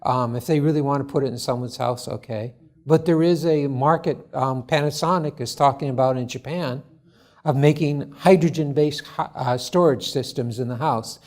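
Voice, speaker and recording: A man presenting his startup at a conference forum, answering judges' questions in a halting, earnest way full of restarts. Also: medium pitch, darkish tone. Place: conference hall.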